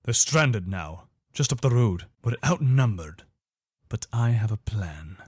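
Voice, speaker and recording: A man's voice: lowish voice, lively intonation, a little slow, darkish tone, husky, dry recording, clean studio sound. A man playing a loyal, wary soldier of an imperial legion in a video game, speaking in an earnest, soldierly manner. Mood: focused. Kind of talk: video game character voice lines.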